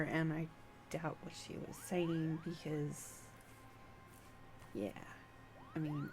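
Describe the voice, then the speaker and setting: A woman's voice: on the low side, slight background noise. A woman livestreaming a game, chatting casually in a conversational, rambling storytelling way. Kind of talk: casual stream chat, reading game dialogue aloud.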